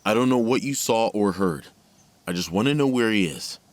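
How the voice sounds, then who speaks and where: An actor playing a worried, gentle middle-aged father in an audio drama, with a soothing, measured manner. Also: fairly low pitch, expressive, lightly acted. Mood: concerned, pleading. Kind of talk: naturalistic audio drama acting.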